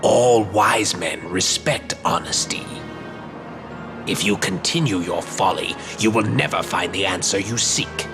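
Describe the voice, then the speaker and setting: A man's voice: low voice, measured pace, over music. An actor playing a haughty, composed learned man in a fantasy video game, with formal, archaic, condescending speech. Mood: cool condescension.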